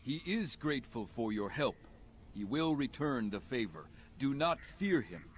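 A man's voice: medium pitch, big pitch swings, measured pace, a little hiss or hum. A man playing an earnest, compassionate alien healer in a video game, reassuring and formal in his phrasing. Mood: encouraging.

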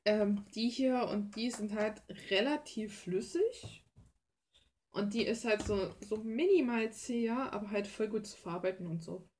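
Young woman just chatting away at her desk, blunt, slangy, kinda roasting herself, normal pitched voice. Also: a little slow, a little mellow, a little hiss or hum. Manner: self-deprecating, blunt and slangy. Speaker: hobby artist and video creator. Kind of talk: casual rambling chat while painting. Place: small cluttered room indoors, at a desk.

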